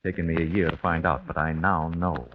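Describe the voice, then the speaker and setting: A man's voice: very deep voice, fairly even intonation, a little fast, slight background noise. An actor playing an older, formal, coldly polite father bent on vengeance in an old-time radio thriller. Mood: cold, menacing. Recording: muffled old radio broadcast, phone-like.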